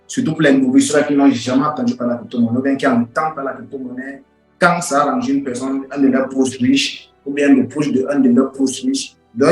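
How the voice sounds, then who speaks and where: A man, an English speaker, giving an informal lecture in an emphatic, repetitive way with rhetorical questions. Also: mid-range voice. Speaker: online course instructor. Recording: roomy echo with music behind.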